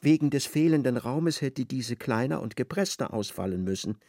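A man's voice: medium pitch, expressive, moderate pace, a little mellow, dry recording, clean studio sound. A man narrating a literary radio drama. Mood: measured.

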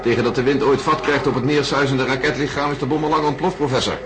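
An actor playing a calm scientist running a bomb launch in a radio play, speaking in a brisk, businesslike way. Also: male voice, medium pitch, noisy background, natural voice.

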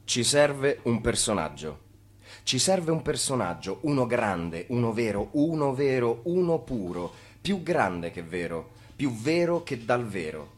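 A theatrical narrator of an epic life story for radio drama. The delivery is incantatory, with phrases hammered again and again. The pitch is very animated, swinging widely from line to line. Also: male voice, a little slow. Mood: incantatory, urgent. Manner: incantatory, repeating phrases for emphasis.

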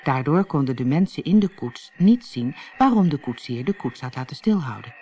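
An audiobook narrator reading a children's fairy tale in an expressive, clearly paced storytelling manner. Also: medium pitch, normal speed, slight background noise.

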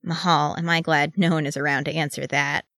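A woman's voice: deep voice, flat delivery, fairly quick, fairly bright tone, dry recording, clean studio sound. A woman voicing an exasperated, anxious male dwarf in a fantasy story, given to fretful, sarcastic outbursts. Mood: weary sarcasm.

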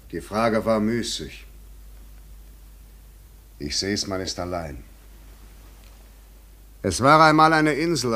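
An actor in a German radio play, playing an insistent, eloquent, poetic stranger who has swum out of the sea, speaking in a formal theatrical way. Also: male voice, low voice, a bit bright, husky, heavily acted. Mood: solemn, storytelling.

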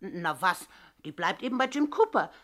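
Greedy fawning landlady, mid-pitch, radio play. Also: very animated, moderate pace, a bit bright. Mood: wheedling. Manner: fawning, protests too much, coy hesitations. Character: greedy wheedling older landlady, falsely modest. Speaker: boarding house landlady. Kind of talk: 1960s radio drama acting.

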